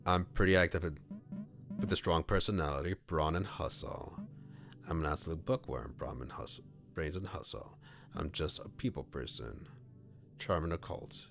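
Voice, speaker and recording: A man's voice: a bass voice, moderate pace, creaky, music in the background. A man streaming a game, reading his choices aloud with chatty comments and frequent laughs.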